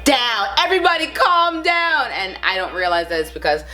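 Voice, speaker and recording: A woman's voice: on the high side, expressive, moderate pace, pushed and bright, soft background music, poor audio. A woman in her thirties with a Brooklyn accent, chatting casually to camera with lots of fillers and rambling asides. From the Bronx, New York. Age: 30s. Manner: rambling, chatty, with frequent fillers and self-amused laughs. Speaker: vlogger.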